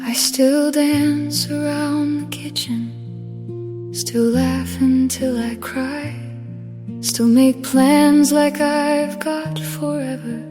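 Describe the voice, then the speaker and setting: Woman singing a slow wistful ballad. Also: high voice. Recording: AI-generated song with loud music. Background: guitar accompaniment.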